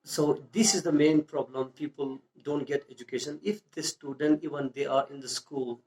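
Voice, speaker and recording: A Pakistani man with a fairly high voice answers interview questions about his school in careful, earnest English. He pauses often, restarts his sentences and leans on fillers, and his non-native phrasing slips in grammar now and then. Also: steady tone, darkish tone, rough recording. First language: Urdu or a local language. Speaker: school founder and English teacher.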